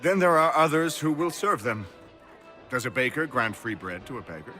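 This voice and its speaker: An actor playing a cynical, mercenary colonial physician in a video game, arguing in a theatrical, emphatic, rhetorical way. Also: male voice, mid-range voice, animated, moderate pace, pushed and bright, faint music behind. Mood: self-justifying. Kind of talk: video game cutscene acting.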